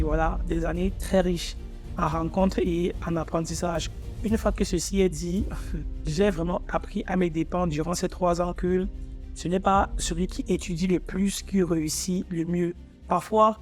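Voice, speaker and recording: A man's voice: sits high, darkish tone. A man in his twenties from Africa, a native English speaker, talking informally to viewers in a personal student vlog. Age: 20s. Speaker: architecture student presenting his own channel.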